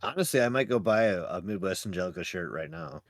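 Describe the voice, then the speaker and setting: A man's voice: low voice, lively intonation, fast talker, no room sound, clean studio sound. A man co-hosting a podcast, chatting casually and emphatically, with frequent swearing. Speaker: podcast co-host reviewing web horror series. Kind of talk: casual animated chat.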